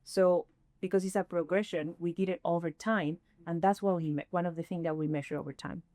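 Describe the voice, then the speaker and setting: A woman from Chile with a Chilean Spanish accent, leading a workshop session and often pausing to search for words. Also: lowish voice, steady tone, dark tone, no room sound. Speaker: workshop presenter.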